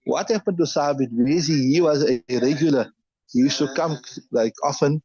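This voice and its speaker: A man's voice: a little high, moderate pace, mellow, highs cut off. A man teaching a hadith class, reading each line aloud and then explaining it step by step.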